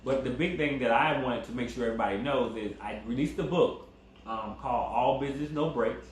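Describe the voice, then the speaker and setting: A man co-hosting a casual couple chat, relaxed and rambling, with fillers and repeated phrases. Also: mid-range voice, brisk. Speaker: co-host. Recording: very echoey room with faint background noise.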